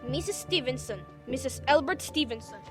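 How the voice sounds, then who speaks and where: A woman's voice: high voice, lively intonation, fairly quick, faint music behind. A young woman playing an anxious, demanding invalid wife in a suspense radio drama, fretful, insistent and dramatic. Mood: anxious, explaining.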